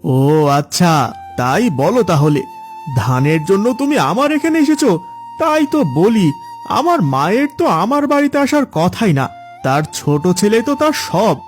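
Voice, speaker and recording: An irritable, neglectful grown son from a cartoon moral tale, voiced by a man. His complaining delivery swings widely in pitch as he brushes people off. Music plays underneath. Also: very animated, normal speed. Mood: dismissive. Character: neglectful adult son, irritable, evasive, hard-up. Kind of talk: cartoon moral story dialogue.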